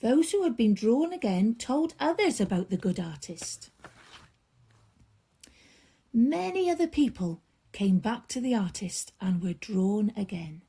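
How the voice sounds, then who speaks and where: A woman storyteller reading a children's bedtime story aloud, expressively, with drawn-out emphasis and hushed drops. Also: medium pitch, very animated, breathy edge.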